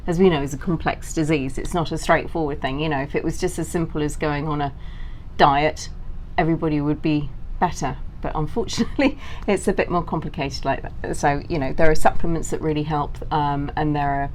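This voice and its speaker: A woman's voice: low voice, a little mellow, slight background noise. A middle-aged woman being interviewed, telling her personal story conversationally as a guest. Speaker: interview guest, cancer survivor and author.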